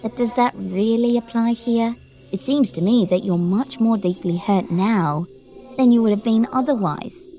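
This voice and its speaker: An actress playing a prim, formal woman who runs an uncanny lift, speaking in genteel phrases and gently probing. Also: fairly high pitch, moderate pace, soft background music, natural voice. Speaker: voice actor. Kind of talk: audio drama acting.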